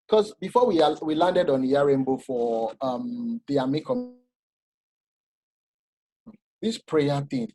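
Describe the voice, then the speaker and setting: A man giving an informal masterclass talk, animated and explanatory, often restarting his sentences. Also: mid-range voice, breathy edge, no echo, poor audio. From Nigeria. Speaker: masterclass speaker.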